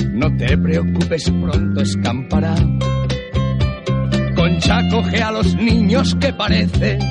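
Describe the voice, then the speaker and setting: Slow male singer over loud guitar. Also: on the high side. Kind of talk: humorous musical comedy singing. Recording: loud guitar music under voice.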